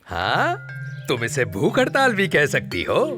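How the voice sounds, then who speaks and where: A man's voice: on the high side, huge pitch swings, unhurried, sharp tone, faint music behind, full character voice. A man voicing a cheeky, earnest talking television set in a children's cartoon, declaiming his lines. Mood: proud, defiant.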